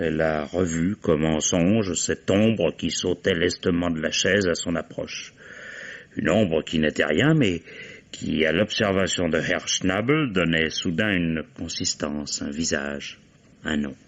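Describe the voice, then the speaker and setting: An actor playing a weary, shrewd and commanding police commissioner in a vintage French radio mystery, deliberate and musing. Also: male voice, low voice. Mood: reflective, explaining. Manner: deliberate questioning with musing interjections. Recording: dull vintage radio drama recording.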